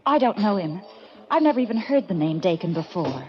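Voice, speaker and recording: A woman's voice: mid-range voice, sing-song, a little fast, close to an everyday voice. An actress playing a secretive, anxious young American woman, urgent and evasive as she pleads, in 1940s radio drama style. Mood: urgent, pleading. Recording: muffled 1940s radio recording.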